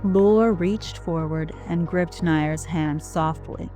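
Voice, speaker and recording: A woman narrating an audio drama in a slow, deliberate, grave way. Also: on the low side, mellow, faint music behind. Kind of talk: scripted narration.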